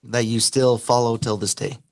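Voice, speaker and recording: Guy hosting an interview, voice kinda raspy from losing it, mid pitch, upbeat, lots of "perfect". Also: steady tone, normal speed. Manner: upbeat, short affirmations. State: recovering from lost voice. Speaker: host.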